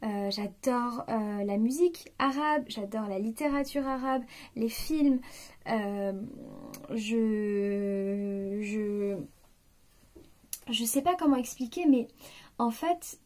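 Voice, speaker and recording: A woman in her late twenties speaks straight to her viewers in a loose, unscripted way. She stops and restarts often and fills pauses with small hesitations. Her voice sits a little high for a woman. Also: darkish tone. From France. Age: 20s. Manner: hesitant, many fillers and restarts, confiding tone. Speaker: vlogger. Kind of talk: spontaneous personal reflection.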